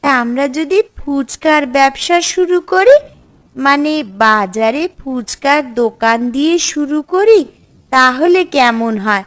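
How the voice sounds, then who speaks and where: Woman acting an earnest, slow-speaking village sister. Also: high voice, expressive, fairly bright tone, exaggerated voice. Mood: earnest, planning. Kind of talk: cartoon voice acting.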